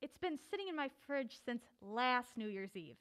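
An actress playing a mild-mannered, witty film buff in an audio drama, with wry, playful banter. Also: female voice, on the high side, big pitch swings, normal speed, fairly bright tone, clear, resonant tone. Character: mild-mannered, witty film-buff woman, assistant video store manager.

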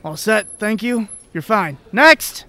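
An impatient airport security screener barks orders in an extremely high, sharp voice. He is brusque and officious, in radio drama style. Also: lively intonation, takes it slowly, heavily acted.

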